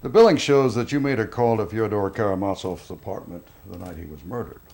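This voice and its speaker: A man acting as a calm, persistent, skeptical police interrogator, probing in an understated film style. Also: lowish voice, fairly quick, close to an everyday voice. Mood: pressing, skeptical. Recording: faint background noise.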